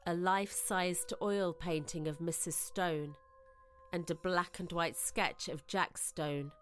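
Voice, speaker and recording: A woman reading scripted ghost-story narration in a measured, literary, suspenseful manner, as in a radio drama. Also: on the low side, fairly even intonation, fairly bright tone, faint music behind.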